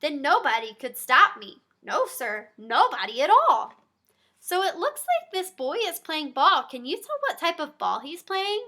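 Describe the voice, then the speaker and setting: A woman reading a picture book to young children, her high voice bright and full of swooping lift. She speaks slowly and chats straight to her small listeners between pages. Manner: animated, talks directly to young listeners. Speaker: pediatric therapist.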